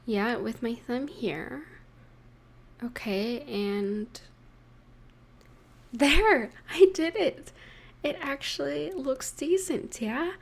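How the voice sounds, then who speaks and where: Creaky, high-voiced, unhurried affectionate girlfriend played by a woman. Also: full character voice. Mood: playful, excited. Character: affectionate, playful young girlfriend baking at home with partner. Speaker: voice actor in romantic audio roleplay. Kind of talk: intimate scripted roleplay addressed to the listener.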